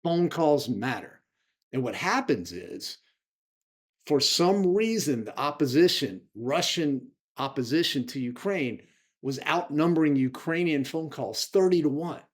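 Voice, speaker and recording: Casting: American male activist host, slightly high voice, indignant and emphatic delivery. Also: expressive, measured pace. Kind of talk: impassioned spoken political advocacy.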